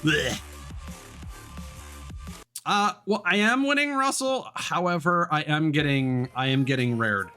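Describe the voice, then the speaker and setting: Male game streamer, mid-low natural voice, grumbling and cursing through live play commentary, prominent music and engine noise behind. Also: animated, unhurried, pauses often, sharp tone, smooth. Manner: sweary, grumbling. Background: racing game music and engine sounds.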